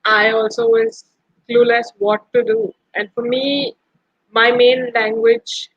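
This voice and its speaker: A woman's voice: fairly high pitch, steady tone, slow, no room sound, dull audio. A woman from India, a Gujarati speaker, answering earnestly on a panel with hesitant fillers. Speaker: panelist and translator.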